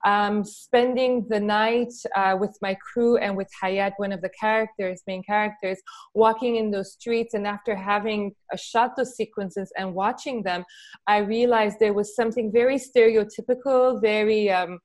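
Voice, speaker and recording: A woman's voice: mid-range voice, steady tone, moderate pace. A middle-aged woman from Lebanon speaking as an interview guest, a documentary director who answers reflectively and searches for her words. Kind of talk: spoken interview answers in a film Q&A.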